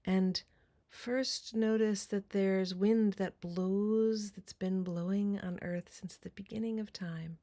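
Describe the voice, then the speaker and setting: A woman leading a guided meditation in a soft, breathy, deliberate voice with long pauses. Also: medium pitch, steady tone.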